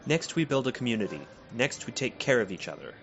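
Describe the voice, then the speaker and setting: A man's voice: mid-range voice, very animated, quick pace, a bit bright, soft background music. A man playing an earnest, determined leader of an island community in an audio drama. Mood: earnest, resolute. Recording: noisy, dull lo-fi recording.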